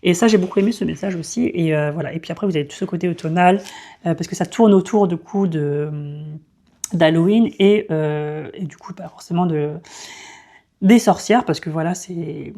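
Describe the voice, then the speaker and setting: A woman in her thirties reviews a novel in a loose, chatty way. Her voice sits a little low and sounds warm and soft-edged. She often pauses, restarts her sentences and fills gaps with hesitant sounds. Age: 30s. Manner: hesitant, many fillers and restarts. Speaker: book vlogger. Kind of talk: casual book review.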